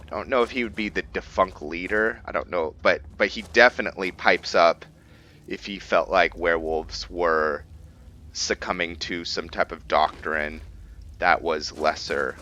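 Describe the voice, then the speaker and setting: Low-voiced, slow-talking man with bright edge. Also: a little hiss or hum. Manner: halting, thinking aloud. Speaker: gameplay host and role-player. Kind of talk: casual game commentary explaining character lore.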